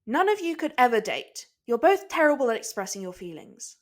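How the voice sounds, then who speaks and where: A woman voicing a bossy, short-tempered young woman who scolds loudly and with exasperation. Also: on the high side, very animated, brisk, pitched above the performer's own voice. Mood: fed up. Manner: loud, scolding.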